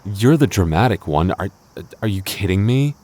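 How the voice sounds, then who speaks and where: Man acting deep-voiced, flustered jealous boyfriend. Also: expressive, normal speed, warm tone. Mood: flustered, indignant. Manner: stammering, mock-indignant, sighing and chuckling. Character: clingy, jealous boyfriend, flustered, affectionate, playfully grumbling. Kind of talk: intimate boyfriend audio roleplay, ASMR.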